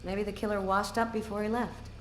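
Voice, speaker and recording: A woman's voice: medium pitch, flat delivery, fairly quick, a little hiss or hum, lightly acted. An actress playing a composed, direct female police investigator in a video game. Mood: brisk, professional. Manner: direct, questioning. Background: game music.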